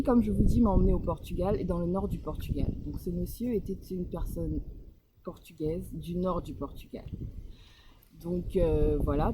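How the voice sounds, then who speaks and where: A woman's voice: on the low side, background noise. A woman from Congo-Brazzaville, an author being interviewed about her novel, talking casually with frequent hesitations and restarts. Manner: hesitant, many fillers and restarts. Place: home in northern Portugal.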